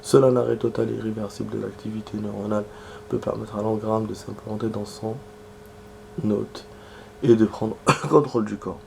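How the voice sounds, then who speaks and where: A man's voice: moderate pace, slight background noise. A man with a cold, casually reading in-game text aloud and chatting while he plays. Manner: low, flat, gravelly delivery with muttered asides. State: has a cold, clearing his throat. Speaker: gaming streamer.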